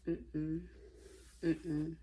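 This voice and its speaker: A woman reading aloud and commenting casually, with an informal, opinionated, slangy way of musing out loud. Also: low-pitched, monotone, very slow, slight background noise. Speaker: host reading and commenting on online stories.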